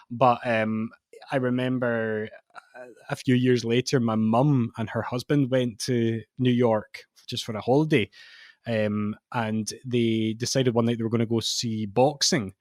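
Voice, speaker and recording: A man's voice: mid-range voice, no room sound, clean studio sound. A man co-hosting a podcast, telling rambling anecdotes with many restarts. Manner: rambling, self-correcting anecdotes. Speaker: podcast co-host. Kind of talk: casual podcast chat.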